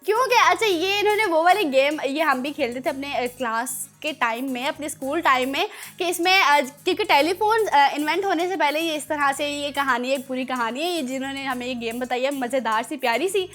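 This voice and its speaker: High-pitched Pakistani woman hosting for kids. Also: a little fast, hardly any pauses, fairly bright tone, faint music behind. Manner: playful, encouraging to children. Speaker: children's show host. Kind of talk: lively children's TV presenting.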